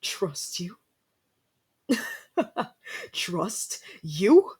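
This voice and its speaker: A man playing a haughty, easily flustered young winter prince in a fantasy ASMR roleplay, speaking formally and imperiously with a breathy voice. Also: high voice, big pitch swings, drawn-out words, a little mellow, exaggerated voice. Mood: angry, distrustful.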